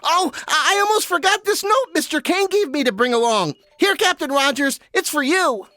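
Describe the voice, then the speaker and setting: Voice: high voice, expressive, moderate pace, pushed and bright, no room sound. A performer playing a timid young boy who stammers, in a 1930s radio serial style.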